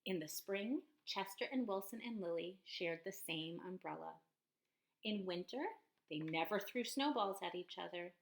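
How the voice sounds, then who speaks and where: A woman reading a picture book aloud in an expressive, deliberate teacher's manner aimed at children. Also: lowish voice, vocal fry, poor audio. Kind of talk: storybook read-aloud, speaking to children.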